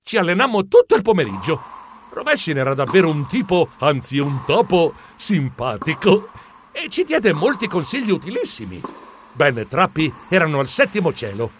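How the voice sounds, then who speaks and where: Excitable, high-voiced mouse storyteller. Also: male voice, moderate pace, a little hiss or hum. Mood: delighted. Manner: theatrical, expressive, comic exclamations. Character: excitable, bumbling, polite male mouse journalist telling his adventure. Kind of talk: dramatized children's storybook narration.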